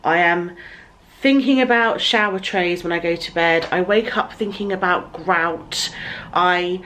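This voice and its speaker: Woman, thirties, vlogger, low-ish chatty voice, tired, handheld camera, light background hiss. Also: unhurried, fairly bright tone, rough recording. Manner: chatty, breathless lists of errands. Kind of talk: casual vlog chat to camera. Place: bedroom at home, later a parked car. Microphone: handheld compact camera at arm's length.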